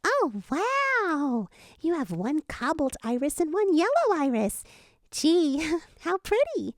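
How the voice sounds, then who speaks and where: A woman's voice: sits high, wildly swooping pitch, slow pace, no room sound, clean studio sound, full character voice. A woman playing a chipper, giggly, chatty cartoon girl in a story. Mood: bubbly.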